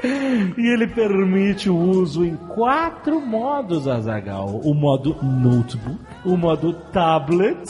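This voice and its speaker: A man's voice: high voice, sing-song, takes it slowly, a little mellow, in a large hall, faint music behind. A man with a Brazilian accent hosting a podcast with jocular banter and ad reading, drawing out words for emphasis. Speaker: podcast host. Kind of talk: jokey banter and ad read.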